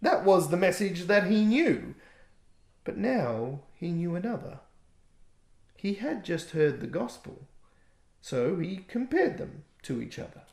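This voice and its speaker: A man reading aloud his own rhyming picture book in a gentle, expressive way. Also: high voice, measured pace, gravelly. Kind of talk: rhyming storybook narration. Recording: echoey room.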